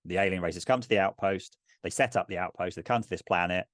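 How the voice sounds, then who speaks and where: A middle-aged man casually narrating a tabletop game, thinking aloud in a halting way. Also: deep voice, fairly bright tone, no echo, studio quality. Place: indoors on a video call.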